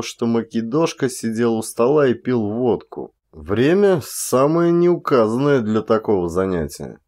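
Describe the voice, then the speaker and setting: A man reading literary prose as an actor, in expressive scripted narration. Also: lowish voice.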